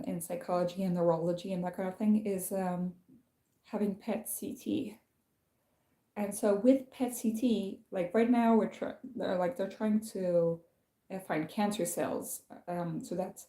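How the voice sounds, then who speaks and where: Young female streamer, low-ish voice, loose filler-heavy delivery, recorded in a reverberant room. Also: dark tone. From France. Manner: rambling, many fillers and restarts. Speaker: channel host. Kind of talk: casual livestream chat.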